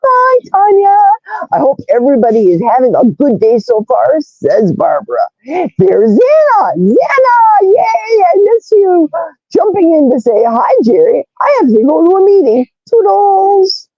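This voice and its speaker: Raspy, brash woman livestream host. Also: very high voice, unhurried, no echo, dull audio. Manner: animated, reads comments aloud, squeals greetings. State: hoarse, vocal fry. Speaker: livestream host reading chat comments. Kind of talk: casual live chat.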